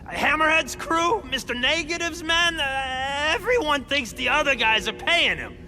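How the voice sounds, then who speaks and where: A man's voice: extremely high pitch, lively intonation, measured pace, sharp tone, soft background music, heavily acted. A man playing a jittery, bragging small-time criminal turned supervillain in a video game, stammering and tacking questioning tags onto his sentences. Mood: panicked. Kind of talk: video game cutscene acting.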